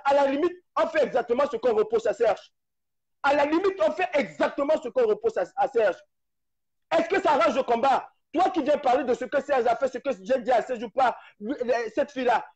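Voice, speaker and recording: A man's voice: very high voice, a little fast, a little mellow, breathy edge. A man speaking passionately on a live stream, hammering points with rhetorical questions and repetition. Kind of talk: impassioned political talk. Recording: dull lo-fi live stream audio.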